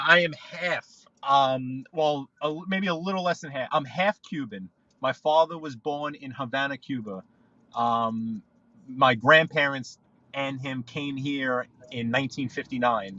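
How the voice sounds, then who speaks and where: A man's voice: medium pitch, measured pace, bright, forceful tone, no echo, lo-fi sound. A man chatting on a live podcast from his car, telling stories in a rambling way with many restarts. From New York. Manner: rambling, storytelling, frequent restarts. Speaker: livestream guest. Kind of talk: casual live podcast chat while driving.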